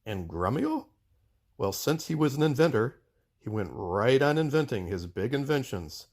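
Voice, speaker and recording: A man reads a children's storybook aloud as its narrator. His voice sits at a middle pitch and moves with very lively intonation. The delivery is expressive, scripted storytelling. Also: moderate pace. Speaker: storyteller reading a children's book.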